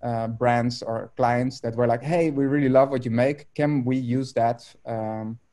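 A Dutch man in his thirties speaks English over a video call from a room at home. His voice is mellow and dark, and he talks haltingly, filling gaps with ums and restarting phrases. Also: medium pitch, fairly even intonation. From Netherlands. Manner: hesitant, many fillers and restarts. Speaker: artist guest.

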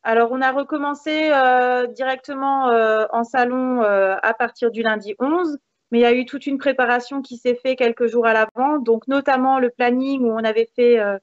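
A woman's voice: a little high, fairly even intonation, moderate pace, no echo. A woman in her thirties giving conversational interview answers in an upbeat way over a video call. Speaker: interview guest, hair salon manager. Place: indoors in a room with a beamed ceiling. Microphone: video call, device mic. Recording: dull, lo-fi video call audio.

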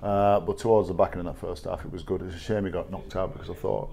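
A middle-aged man with an English accent, a rugby coach answering post-match press questions in a measured, halting way with many fillers. He sits at a table in a small press room. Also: low voice, steady tone, gravelly, warm tone. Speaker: rugby league head coach. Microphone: table microphone.

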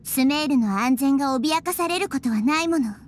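A woman voicing a small, wise and gentle girl deity in a video game, speaking softly and with composure. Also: sits high, lively intonation, normal speed, a little hiss or hum.

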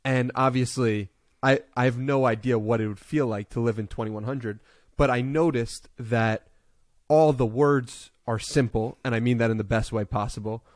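A man in his twenties hosting a podcast interview, curious and quick to laugh, speaking into a close studio mic. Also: medium pitch, steady tone, dry recording. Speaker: podcast host. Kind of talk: casual interview chat. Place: home room with bookshelves, indoors. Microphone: large studio mic up close.

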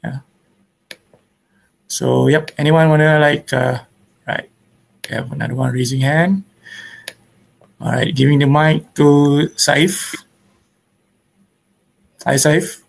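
Creaky-voiced man hosting an online meetup. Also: a little high, fairly even intonation, takes it slowly, pauses often. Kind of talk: casual event hosting. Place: indoors at home on a video call. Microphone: video call microphone.

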